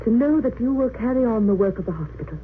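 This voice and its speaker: A woman's voice: fairly high pitch, lively intonation, fast, close to an everyday voice. An actress in an old-time radio drama playing a composed, resolute English nurse on trial for her life, speaking plainly and earnestly. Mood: calm, resigned. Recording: tinny narrow-band old radio recording, hissy.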